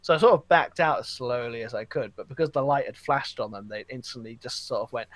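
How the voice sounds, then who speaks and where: A man's voice: medium pitch, fast talker, no room sound. A man chatting casually on a gaming podcast, rambling along and acting out reactions as he tells stories. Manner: rambling, acts out quoted reactions. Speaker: video game podcast host. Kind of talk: casual animated podcast chat.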